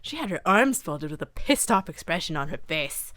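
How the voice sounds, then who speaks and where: A woman's voice: deep voice, big pitch swings, brisk, a bit bright, no room sound. A woman reading fan fiction aloud as an amateur, in a mock-serious dramatic narrating style.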